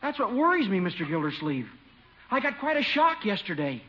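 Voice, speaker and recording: An actor playing an earnest, boyish young husband in a 1950s radio sitcom. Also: male voice, high voice, very animated, a little fast, pushed and bright, full character voice. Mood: earnest worry. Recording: muffled old radio broadcast.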